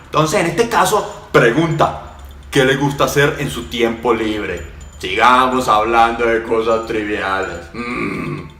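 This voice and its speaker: A man's voice: medium pitch, unhurried, bright, forceful tone, echoey room. A man giving brash, slangy voice-over commentary on a dating clip. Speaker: channel host commenting on the clip.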